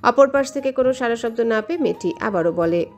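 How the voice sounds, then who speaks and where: A woman's voice: on the high side, animated, fast talker. A woman reading a scripted romantic story as its narrator. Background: background music.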